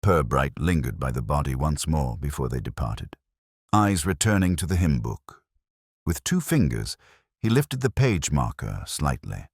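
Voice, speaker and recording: A man narrating a scripted mystery story in a measured, literary manner. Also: rumbling low, very animated, breathy edge, dry recording, studio quality. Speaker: audiobook narrator.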